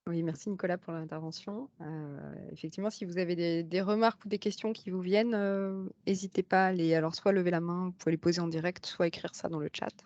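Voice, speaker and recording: A woman moderating a webinar, handing over between speakers in a brisk way. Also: lowish voice, fairly even intonation, darkish tone, dry recording. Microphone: video call. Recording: dull lo-fi video call audio.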